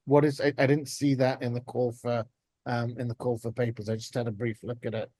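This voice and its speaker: A man's voice: medium pitch, flat delivery, normal speed, mellow, no echo. A man from England with an English accent, a native English speaker, asking questions informally and tentatively from the audience at an academic talk.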